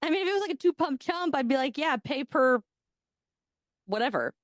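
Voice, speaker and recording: Lively, bawdy woman podcast host. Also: sits high, fairly quick, no room sound, studio quality. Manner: bawdy, joking, laughs often. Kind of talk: casual podcast chat retelling a story.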